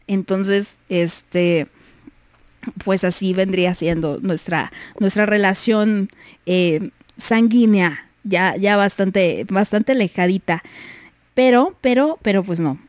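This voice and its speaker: A woman's voice: medium pitch, slow pace, dry recording. A young Mexican woman hosting a livestream, chatting casually, reading messages and giggling often. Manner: giggly, chatty, many fillers. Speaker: livestream host.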